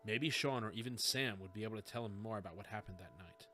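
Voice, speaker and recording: Bright-voiced man narrating a story over music. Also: fairly low pitch, fast. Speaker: audiobook narrator. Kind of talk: scripted fiction narration.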